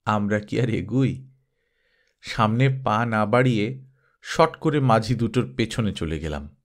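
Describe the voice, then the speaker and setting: A man reading a story aloud as an expressive scripted narration. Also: on the low side, takes it slowly.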